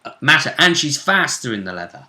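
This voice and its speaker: Bright-voiced man in a roomy space. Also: medium pitch, very animated, brisk. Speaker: game master recapping a tabletop role-play session. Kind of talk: casual chat, recounting game events. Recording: roomy, echoey sound.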